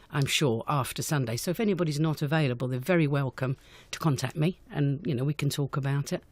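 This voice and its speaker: A woman's voice: deep voice, a little mellow, dry recording. A woman answering questions in an unscripted radio interview, chatty and enthusiastic as a guest director. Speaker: guest, amateur pantomime director.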